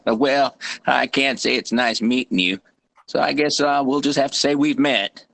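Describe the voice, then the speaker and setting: A man playing a folksy, wry frontier doctor and former army medic, gravelly and drawling, in a table read of a western. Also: mid-range voice, a little slow, fairly bright tone. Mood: dry, wry.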